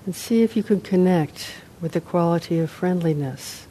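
A woman meditation teacher leading a guided meditation, unhurried, in short phrases with long pauses. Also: on the low side, warm tone, a little hiss or hum, low-quality recording. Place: meditation center, live talk.

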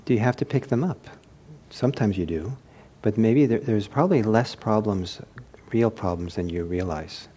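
A man giving an informal meditation talk, reflective and hesitant as he searches for words, with a soft, husky voice. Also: fairly low pitch, moderate pace. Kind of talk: informal spoken teaching with anecdotes.

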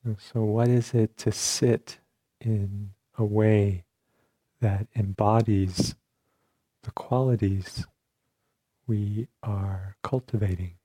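Male meditation teacher, low-pitched and very slow, calm delivery with generous pauses. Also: breathy edge, no echo. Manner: calm, unhurried, with long pauses between short phrases. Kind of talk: guided meditation instruction.

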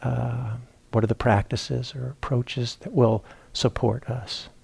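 A man teaching a guided meditation, speaking in a halting, reflective way as he searches for words. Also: medium pitch, level tone, vocal fry, darkish tone, breathy edge. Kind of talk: guided meditation talk, unscripted. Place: meditation retreat center, indoors.

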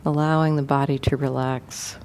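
A woman meditation teacher leading a guided meditation gently and without hurry, leaving many pauses. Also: extremely low pitch, little pitch movement, slight background noise.

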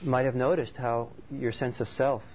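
A man's voice: medium pitch, steady tone, normal speed, a little mellow. A man from Norway giving a thoughtful, conversational dharma talk as a meditation teacher. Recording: slightly dull recording with faint background noise.

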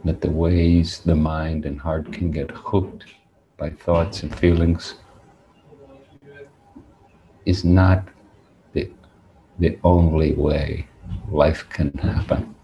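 Very slow, deep-voiced man guiding meditation. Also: flat delivery, creaky, dark tone, muffled recording, slight background noise. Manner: deliberate, measured, with long pauses. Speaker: meditation teacher. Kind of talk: guided meditation talk.

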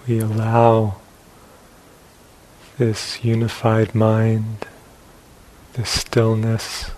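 A man leading a guided meditation as a teacher, speaking in short phrases with long pauses between them. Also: fairly low pitch, monotone, darkish tone, low-quality recording. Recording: hissy live talk recording.

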